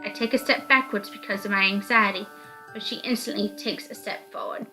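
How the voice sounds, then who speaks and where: A woman's voice: medium pitch, fairly even intonation, fairly quick, bright, forceful tone, soft background music, poor audio. A woman reading first-person story narration aloud from a visual novel.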